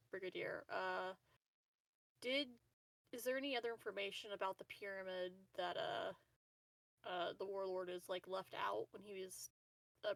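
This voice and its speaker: A woman with a creaky, mid-pitched voice chats casually as a tabletop game player. She is hesitant and wordy with a wry edge, recorded a little off the mic in a very dry space. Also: fairly bright tone, no room sound, far from the mic.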